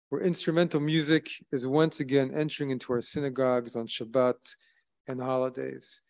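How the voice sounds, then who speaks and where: Man preaching a measured solemn sermon. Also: medium pitch, a little mellow. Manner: measured, solemn preaching with rising emphasis on key phrases. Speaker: rabbi. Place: synagogue sanctuary at a wooden lectern, indoors. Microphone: lectern microphone, streamed by video.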